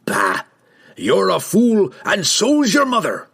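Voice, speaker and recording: Fantasy audiobook dwarf: growling, hot-headed, rustic, big swings in pitch. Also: male voice, very animated, a little slow, vocal fry, fairly bright tone, husky, exaggerated voice. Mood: scornful. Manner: growling, barking, rustic folksy speech. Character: gruff, defiant, quick-tempered male dwarf warrior.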